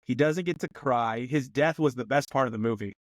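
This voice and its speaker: A man's voice: mid-range voice, fairly quick, dry recording, studio quality. A man co-hosting a movie review podcast, rambling with lots of fillers and laughing often in casual chat. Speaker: podcast co-host.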